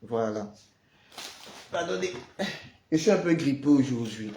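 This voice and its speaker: A man's voice: mid-range voice, lively intonation, unhurried, gravelly, dark tone. Man, has the flu, prayer livestream host, chatty, greets viewers by name, echoey room. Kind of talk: casual livestream chat. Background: noise of building work.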